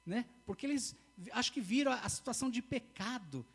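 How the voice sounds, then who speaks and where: A man's voice: very high-pitched, expressive, brisk, creaky, fairly bright tone, husky. A man preaching a sermon in church, earnest, repeating key phrases and often restarting mid-sentence.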